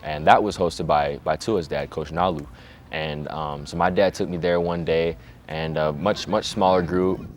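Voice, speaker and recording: A teenage boy from Hawaii, a high school quarterback, talking reflectively in a documentary interview with many fillers. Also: steady tone, unhurried, slight background noise. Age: teens.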